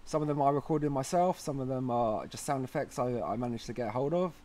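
A man's voice: mid-range voice, a little fast, dark tone. A man hosting a how-to video, talking informally and chattily as he explains things step by step. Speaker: host of a how-to video series. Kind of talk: casual tutorial narration.

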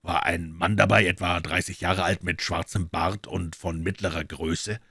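A calm, shrewd detective from an audiobook, speaking low and measured, never rushing, as a man reads him. The voice sits deep and is recorded close and dry. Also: slightly rough, dry recording, full character voice. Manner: measured, quiet, matter-of-fact. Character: calm, shrewd male detective, middle-aged.

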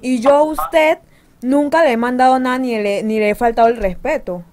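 A woman's voice: sits high, normal speed, a little mellow. A Panamanian woman arguing on a prank phone call, indignant, complaining and insistent. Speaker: radio show prank caller. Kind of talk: phone prank, argumentative chat.

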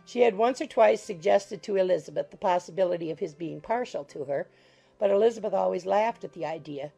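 A woman narrating a classic novel as an audiobook in a measured, formal storytelling manner. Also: fairly low pitch, vocal fry, mellow, breathy edge, soft background music. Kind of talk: scripted literary narration.

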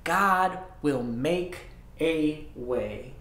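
A storyteller voicing Moses, a calm and firm old prophet leading his people, in a slow, solemn tone. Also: mid-range voice, roomy sound, voice pushed down. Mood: solemn, steady.

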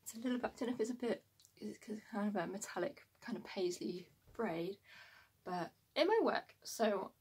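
A woman's voice: medium pitch, moderate pace, a little mellow, husky. A woman, chatting casually and informally to camera as a sewing vlogger in a small room at home.

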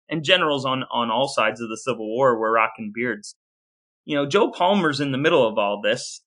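A man's voice: medium pitch, pushed and bright. A man co-hosting a podcast, telling history informally and reading out quoted accounts. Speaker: podcast co-host.

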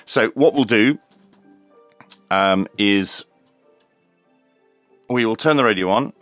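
A man with a fairly low voice talks very slowly through a hands-on demonstration. He is methodical and matter-of-fact, explaining each step as he goes. The sound is close and very dry, with faint music. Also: fairly bright tone, no room sound. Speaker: radio reviewer presenting a demonstration. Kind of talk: unscripted hands-on product demonstration.

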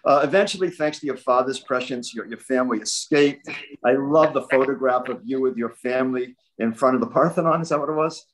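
A man's voice: medium pitch, a little fast, low-quality recording. An older man interviewing an author on a video call, talking conversationally in long, admiring, rambling questions. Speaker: interviewer, event host. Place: book-lined home library, indoors. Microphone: video call computer mic.

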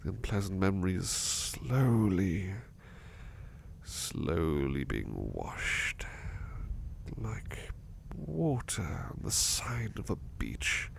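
A man playing a gentle, sleepy, devoted servant in an intimate ASMR roleplay, speaking softly and hesitantly in murmurs and whispers. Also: low-pitched, very animated, drawn-out words, gravelly, exaggerated voice. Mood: sleepy, loving. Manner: soft, drowsy, hesitant, often murmured or whispered. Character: gentle, sleepy, devoted male servant comforting and being comforted. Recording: noticeable background noise.

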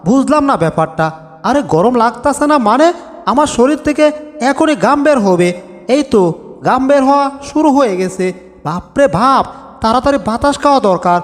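A man's voice: very high-pitched, expressive, fairly quick. A man voicing a whiny, bossy comic cartoon cat in a Bengali dub, grumbling and narrating his own doings with exaggeration. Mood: overheated whining. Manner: exaggerated complaining, self-narrating. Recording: long reverb over background music.

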